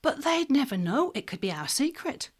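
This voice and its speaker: A woman's voice: high-pitched, sing-song, normal speed. A woman playing a wry, teasing shop worker in an audio drama, practical and mischievous, with a dry manner. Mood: coaxing.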